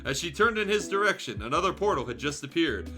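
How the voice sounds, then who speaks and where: A man with a sharp, bright, slightly high voice reads fan fiction on a stream. He moves between narration and crude, joking commentary. Music plays behind him. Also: sing-song, quick pace. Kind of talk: story narration with casual, irreverent asides.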